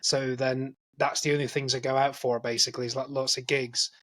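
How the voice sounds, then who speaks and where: A man's voice: medium pitch, little pitch movement, a little fast, pushed and bright. Rambling, self-deprecating Englishman, early 40s. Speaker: podcast host. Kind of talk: casual chat.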